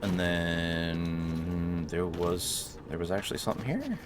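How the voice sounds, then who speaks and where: Lively low-voiced man playing games. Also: slow. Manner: joking, talks to characters and himself. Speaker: gaming streamer. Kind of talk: casual let's-play commentary. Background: video game ambience.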